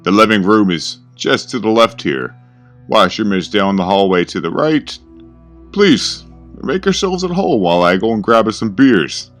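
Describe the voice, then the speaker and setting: Falsely friendly sinister host, voiced by the narrator; loud, smirking charm. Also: male voice, on the low side, expressive, normal speed, soft background music, pitched above the performer's own voice. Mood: falsely cheerful. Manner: smirking, overly cheerful and loud.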